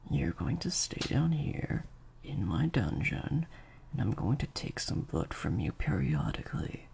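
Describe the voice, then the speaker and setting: A man playing a sadistic vampire master in an improvised audio roleplay, menacing and teasing, in breathy half-whispers to a captive listener. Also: mid-range voice, big pitch swings, a little slow, a little mellow, heavily acted. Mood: firm, condescending.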